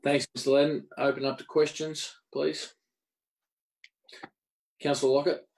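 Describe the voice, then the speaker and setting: A man's voice: medium pitch, flat delivery, measured pace, creaky, a little mellow. A man with an Australian accent chairing a council meeting as mayor in short, procedural phrases.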